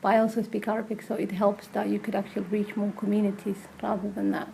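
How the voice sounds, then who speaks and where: A woman's voice: mid-range voice, level tone, fast talker, vocal fry, warm tone, slight background noise, poor audio. A woman who works as a community support worker, speaking hesitantly with frequent fillers and restarts in a recorded interview.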